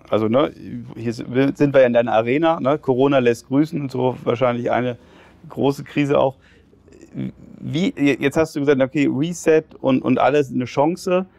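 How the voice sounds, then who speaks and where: A male interviewer asking quick, slightly halting questions in a lounge, on a lapel mic. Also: mid-range voice, gravelly, darkish tone, no room sound. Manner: quick, hesitant restarts, frequent affirming hums. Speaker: interviewer host. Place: lounge with leather armchairs, indoors.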